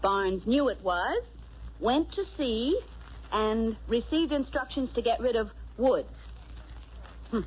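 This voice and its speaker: An actress playing a brisk, wry, loyal detective's assistant, in 1940s radio drama style. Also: female voice, a little high, lively intonation. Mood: deliberate, triumphant. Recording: noisy, muffled old radio recording.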